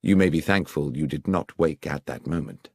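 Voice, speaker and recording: A man voicing a brisk, coolly confident Victorian detective with an English accent, precise and authoritative, in an audiobook. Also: very deep voice, creaky, slightly rough, no echo, studio quality, voice pushed down, exaggerated voice. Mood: explaining, confident. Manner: precise, authoritative, methodical questioning. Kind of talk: audiobook character dialogue.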